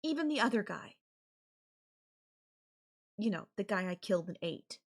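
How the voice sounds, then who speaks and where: A woman playing an obsessive, possessive, murderous girlfriend in an ASMR audio roleplay, speaking deliberately with drawn-out phrases and pauses. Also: medium pitch, lively intonation. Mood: calm menace.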